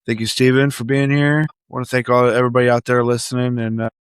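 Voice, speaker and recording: A man's voice: mid-range voice, level tone, fast talker, smooth, dry recording, clean studio sound. A man hosting a podcast with casual, unscripted talk. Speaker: podcast host.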